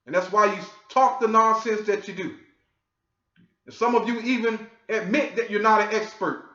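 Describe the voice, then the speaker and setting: Man lecturing hard, keeps going "okay?", high bright voice, echoey muffled sound. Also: fairly even intonation, moderate pace. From United States. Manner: forceful, scolding, repeats "okay?". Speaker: online commentator. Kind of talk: lecturing monologue. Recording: echoey, dull lo-fi audio.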